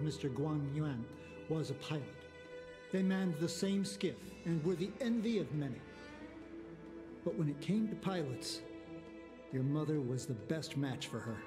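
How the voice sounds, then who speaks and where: A man voicing a discreet, long-lived officer in a video game, speaking in a measured, formal and solemn way. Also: on the high side. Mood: fondly reminiscing.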